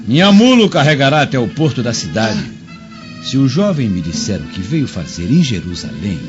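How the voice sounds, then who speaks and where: A man's voice: mid-range voice, very animated, moderate pace, muffled recording, over music, close to an everyday voice. An actor playing a greedy, sly old man in a radio drama, with a mocking, wheedling and theatrical manner. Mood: sly, urging. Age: older.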